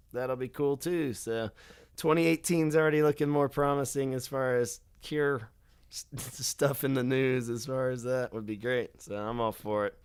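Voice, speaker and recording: A man's voice: mid-range voice, smooth. A man hosting a music podcast, talking in an easygoing, loose way with many fillers and frequent laughs. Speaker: podcast host. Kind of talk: casual chat.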